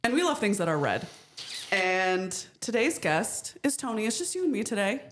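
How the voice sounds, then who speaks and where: A woman's voice: very animated, slight background noise. A woman with an alto voice, hosting a podcast in a playful, teasing manner. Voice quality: lowish alto voice. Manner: playful, quick to laugh. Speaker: podcast host. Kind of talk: casual chat.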